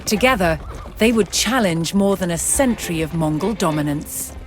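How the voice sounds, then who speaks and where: Measured dramatic narrator over music. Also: mid-range voice, lively intonation. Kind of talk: scripted documentary-style game narration.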